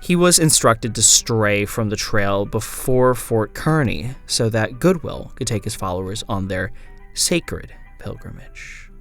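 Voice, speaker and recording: A man playing a wary frontier traveller, narrating a scripted horror journal in a grave, measured, dramatic way. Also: on the low side, lively intonation, soft background music. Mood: grave, brooding.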